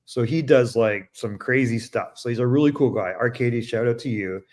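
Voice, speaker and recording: A man from Canada hosting a casual live interview, chatty and quick to joke and laugh. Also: medium pitch, darkish tone. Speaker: host.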